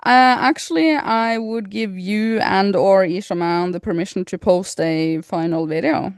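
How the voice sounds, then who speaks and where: A woman's voice: medium pitch, a little slow, smooth, no echo, clean studio sound. A woman co-hosting a podcast in casual chat, informal and a little hesitant, often murmuring agreement. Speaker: podcast co-host.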